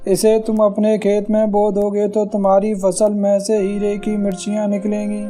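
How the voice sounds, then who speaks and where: A man voicing a humble, pleading old beggar with an earnest manner in an animated moral story. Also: high voice, moderate pace, soft background music, close to an everyday voice.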